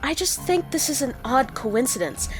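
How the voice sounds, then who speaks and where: An actress as an earnest, chiding young woman in a radio drama. Her fairly high voice moves animatedly as she reassures, over faint music. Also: sing-song, moderate pace, low-quality recording. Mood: reassuring.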